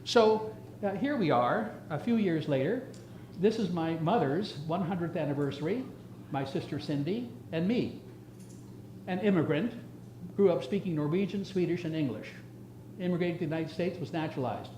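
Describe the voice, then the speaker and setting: A man in his seventies giving an informal, reminiscing slide lecture in a halting, conversational way, speaking directly to his audience. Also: fairly high pitch, expressive, darkish tone, a little hiss or hum, rough recording. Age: 70s. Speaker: retiring surgeon giving an emeritus lecture.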